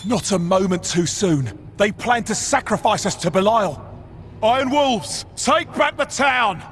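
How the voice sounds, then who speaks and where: An urgent mercenary soldier. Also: male voice, high voice, lively intonation, unhurried, a bit bright. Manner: urgent, rallying. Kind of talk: video game cutscene acting.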